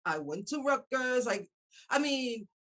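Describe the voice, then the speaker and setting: A woman's voice: high voice, expressive, unhurried. A middle-aged woman whose first language is Spanish, speaking English with a Spanish accent as an interview host in a chatty, affirming way. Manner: chatty, warm-hearted affirmations, frequent laughter. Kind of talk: casual interview chat.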